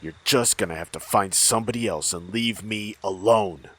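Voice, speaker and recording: An actor playing a weary, bitter old father haunted by a cursed mask in a horror audio drama, with anguished, halting delivery. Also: lowish voice, fairly bright tone, slightly rough, no room sound. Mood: defiant, resigned. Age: older.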